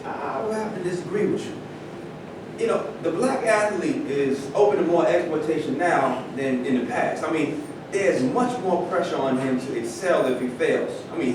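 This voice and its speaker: A man's voice: normal speed, natural voice. A man playing a proud, indignant former football star telling his story in a staged reading. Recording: echoey hall, distant mic, rumbling noise.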